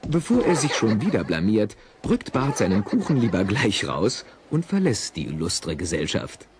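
Wildly animated, wry male narrator. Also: fairly low pitch, moderate pace, a little hiss or hum. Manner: wry, teasing. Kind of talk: scripted audio-play narration for children.

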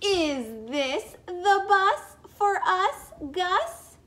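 Woman voicing an eager, high-pitched little girl. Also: sing-song, extremely slow, fairly bright tone, heavily acted. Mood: eager, curious. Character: eager little girl asking at bus stop. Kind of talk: children's picture book read-aloud.

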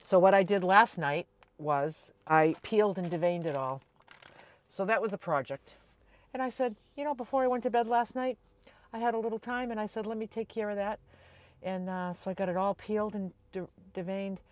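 A woman in her sixties chatting casually to camera as a vlogger, telling everyday stories in a chatty, animated way. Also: medium pitch, normal speed, no room sound. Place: inside a small camper van.

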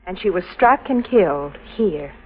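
An actress playing a knowing, teasing sweetheart in a vintage radio drama. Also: female voice, lowish voice, lively intonation, measured pace. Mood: solemn. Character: knowing, teasing sweetheart explaining a local ghost legend. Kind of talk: 1950s radio drama acting. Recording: noisy, muffled old radio recording.

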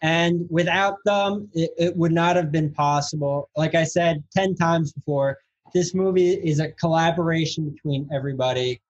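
A man's voice: fairly high pitch, steady tone, normal speed, lo-fi sound. A man chatting as a podcast guest over a video call, enthusiastic and promotional. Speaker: film director, guest. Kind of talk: casual chat.

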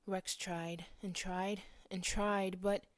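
A woman's voice: medium pitch, little pitch movement, takes it slowly. A woman narrating a scripted children's bedtime story.

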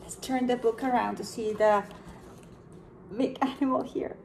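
A woman's voice: on the high side, gravelly, a little hiss or hum. A woman in her thirties, a pre-kindergarten teacher, reading a picture book aloud at home, with gasps and questions for young children. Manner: slow, expressive storybook reading with gasps and questions to kids. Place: room at home with window blinds.

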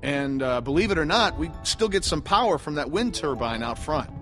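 A man's voice: on the high side, big pitch swings, moderate pace, music in the background. An actor playing a genial middle-aged man hosting survivors in a video game, polite and reassuring. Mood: welcoming, chatty. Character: genial, soft-mannered middle-aged male lodge host. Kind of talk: naturalistic video game voice acting.

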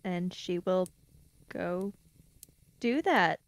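A woman's voice: on the low side, very slow, no room sound. A woman playing a tabletop role-playing game, speaking in character in a hesitant, subdued way.

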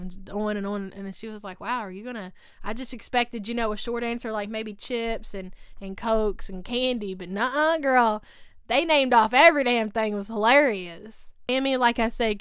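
A woman's voice: a little high, fairly bright tone, clean tone, no echo, clean studio sound. A woman, recapping a TV show in a casual, gossipy way on her channel. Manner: chatty and gossipy, lots of "like" and "y'all". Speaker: YouTube recap host. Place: home studio. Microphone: desk mic beside her.

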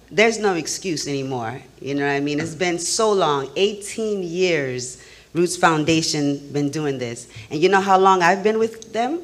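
A middle-aged woman emcees from a podium. Her voice sits slightly low, and she talks informally, speaking straight to her listeners in a conversational way. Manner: conversational, addresses the audience directly. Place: event hall stage at a podium. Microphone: podium microphone.

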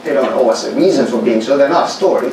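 A man from Greece with a Greek accent, giving a thoughtful academic lecture with many pauses and fillers, recorded in a large lecture hall from a distant mic. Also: mid-range voice, darkish tone. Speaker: workshop speaker. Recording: echoey hall recorded from far off, noisy.

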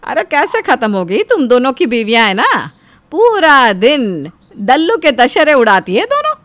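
A woman voicing a scolding, sarcastic elderly mother-in-law in an animated family story, with a nagging, taunting manner. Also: high voice, normal speed, no room sound, exaggerated voice.

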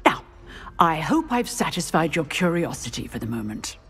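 An actress voicing a dignified older female professor of magic, measured, precise and dryly mischievous, in a video game. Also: deep voice, big pitch swings, creaky, a bit bright, husky, full character voice. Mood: guarded, firm. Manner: measured, precise, wry, authoritative. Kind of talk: video game cutscene acting. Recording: long reverberant hall, music behind.

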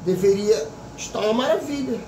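A man's voice: high voice, expressive, a little fast, roomy sound, recorded from a distance, background noise. A man in his fifties, a psychic vlogger giving an opinionated casual monologue full of repetition and rhetorical questions. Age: 50s.

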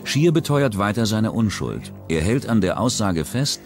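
A deep male voice narrates a crime documentary in steady, measured sentences. A clear music track runs under his voice throughout.

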